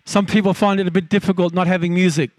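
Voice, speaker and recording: A man's voice: high-pitched, level tone, fast talker, dry recording. An older man pastoring a church, delivering fervent exhortations and announcements.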